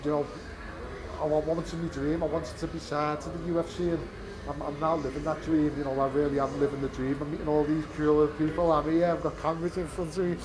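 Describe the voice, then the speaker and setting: A man's voice: fairly high pitch, level tone, fairly quick, low-quality recording. A British man in his twenties, a fighter talking candidly after a bout, rambling with many fillers and restarts. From United Kingdom. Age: 20s. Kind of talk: post-fight press interview. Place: fight venue media area. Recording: crowd noise behind. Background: crowd chatter.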